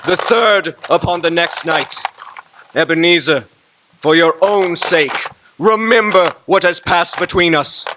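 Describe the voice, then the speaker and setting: An actor playing the tormented ghost of a dead businessman, with solemn, booming warnings in a radio drama. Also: unhurried, noisy background, natural voice.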